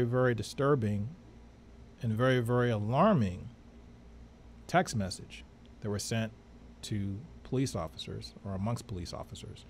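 A middle-aged man introducing a show as co-host, speaking somewhat haltingly into a close studio microphone. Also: mid-range voice, creaky, slight background noise. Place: small studio, indoors. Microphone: close studio microphone on arm.

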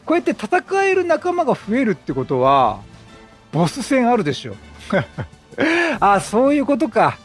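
High-pitched, animated male gameplay commentator. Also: unhurried, faint music behind. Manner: animated, talks to himself and the characters. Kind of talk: chatty game commentary.

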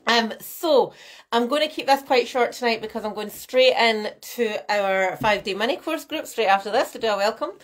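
A woman's voice: on the high side, normal speed. Chatty woman coaching live. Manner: chatty, animated, gestures a lot, greets viewers by name. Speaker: fitness and nutrition coach. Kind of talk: live-stream masterclass to viewers. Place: small room at home, indoors. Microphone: laptop webcam mic.

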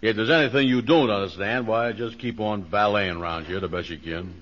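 Deep-voiced, pompous bumbling playboy. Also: male voice, a little fast, fairly bright tone. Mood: self-important. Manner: pompous, bumbling, folksy ungrammatical speech. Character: boastful, slow-witted man playing a high-class playboy. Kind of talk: 1940s radio comedy acting. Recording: muffled, hissy 1940s radio recording.

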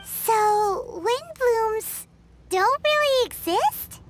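Squeaky lil game fairy, super high, chirpy n eager, bouncing all over. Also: female voice, lively intonation, drawn-out words, a little hiss or hum, full character voice. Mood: wondering. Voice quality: squeaky, childlike. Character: tiny chirpy childlike female fairy companion, cheeky and eager. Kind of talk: scripted video game dialogue.

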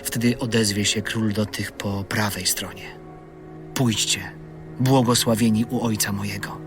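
An actor playing a solemn gospel narrator, measured and declamatory, in a dramatised audio Bible. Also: male voice, fairly low pitch, fairly even intonation, lightly acted. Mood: solemn, grave. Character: solemn gospel narrator foretelling a kingly judgement. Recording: music bed under voice.

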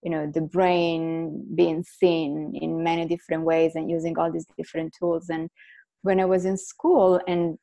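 Woman with an Italian accent, low-pitched, mellow tone, earbud mic on a video call, earnest. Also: measured pace, gravelly. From Italy. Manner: earnest, animated hand gestures. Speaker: guest neuroscientist. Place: living room at home. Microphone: earbud headset on video call.

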